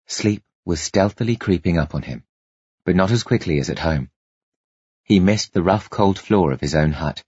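A man's voice: sits low, a little mellow, husky, dry recording, lo-fi sound. A man reading scripted story narration as an audiobook narrator, with dramatic delivery.

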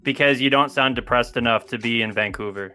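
A man's voice: mid-range voice, brisk, bright, forceful tone, faint music behind. A man co-hosting a casual football podcast, sarcastic and grumbling. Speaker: podcast co-host.

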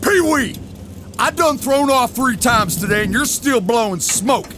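A gruff frontier logging boss played by a male actor in an audio drama. His voice rides high and swings widely as he barks orders and bullies the crew with folksy insults. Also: animated, measured pace, noisy background. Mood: angry, barking. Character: gruff, bullying logging camp boss, an adult man. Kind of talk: dramatic acting in an audio storyboard.

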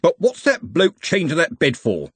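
A man's voice: fairly high pitch, wildly swooping pitch, moderate pace, slightly rough, dry recording, voice pushed up, heavily acted. A man voicing a big, touchy, suspicious guard in a storybook, blunt and colloquial. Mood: suspicious, indignant.

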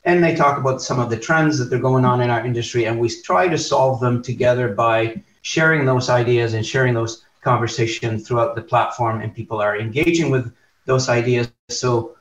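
A man's voice: mid-range voice, fairly even intonation, moderate pace. A man hosts a podcast interview, talking hesitantly with many fillers and restarts. His voice sounds distant and dull, recorded in a roomy space over a video call. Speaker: podcast host.

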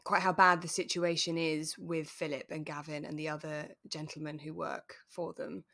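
Lower-pitched British woman chatting. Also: little pitch movement, normal speed, gravelly, a bit bright. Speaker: podcast co-host. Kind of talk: relaxed chat reviewing a radio drama.

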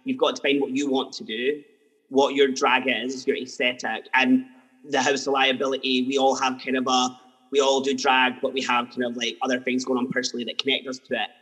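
A man hosts a podcast interview, keen and talking in long, looping phrases. His voice is husky and sits at a middle pitch. A long reverb trails each line. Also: little pitch movement, fairly quick, a bit bright. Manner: enthusiastic, talks in long run-on phrases. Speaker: podcast host. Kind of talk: interview chat.

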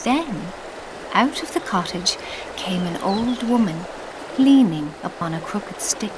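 A woman's voice: mid-range voice, expressive, slow, background noise. A woman narrating a children's fairy tale as a storyteller in a scripted audio drama. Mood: storytelling.

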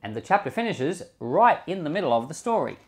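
A man's voice: fairly high pitch, very animated, a little fast. A man speaking as a pastor and Bible podcast host, reading scripture expressively and commenting on it informally.

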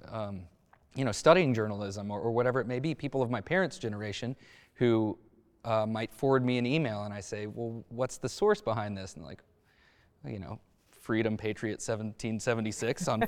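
A man speaking as a journalist on a discussion panel, thoughtful and halting as he searches for words. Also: fairly low pitch. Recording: echoey hall-like reverb.

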